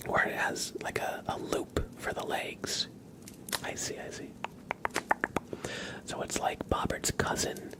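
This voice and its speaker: A man speaking in a soft, breathy whisper, chatting casually with viewers as an ASMR livestream host. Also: takes it slowly, long pauses.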